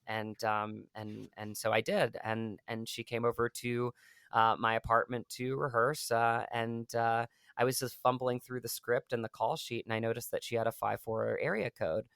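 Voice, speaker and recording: Gravelly man telling a story. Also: medium pitch, a bit bright, no room sound, studio quality. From Virginia. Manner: halting storyteller, restarts and acts out dialogue. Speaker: guest actor. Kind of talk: anecdote in casual interview.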